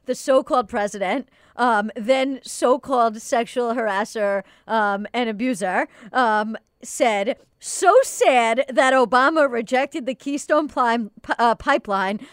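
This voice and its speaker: A woman's voice: on the high side, a little slow, few pauses, no room sound, studio quality. A woman co-hosting a casual news talk show, sarcastic and indignant about the stories she brings. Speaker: podcast co-host. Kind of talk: casual political chat.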